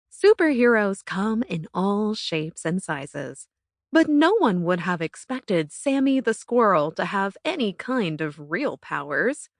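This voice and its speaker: A woman narrating a children's bedtime story from a script in an expressive, careful storytelling manner. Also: mid-range voice, no room sound, studio quality. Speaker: audiobook narrator.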